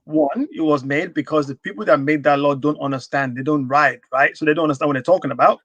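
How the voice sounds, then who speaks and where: A man's voice: on the high side, quick pace, a bit bright, dry recording, highs cut off. A man hosting a live stream talk show, answering in a thoughtful, agreeable way. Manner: thoughtful, agreeable, backchannels a lot. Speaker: host. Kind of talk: casual live stream chat.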